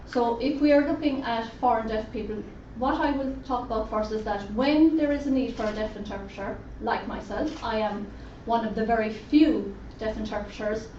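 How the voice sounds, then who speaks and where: Woman, interpreter voice, fairly high, distant dull recording with faint hiss. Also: moderate pace, hardly any pauses, a little mellow. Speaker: sign language interpreter voicing a deaf presenter. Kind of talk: first-person interpreted presentation. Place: conference room at a roundtable event. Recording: roomy, distant, dull lo-fi sound with faint noise.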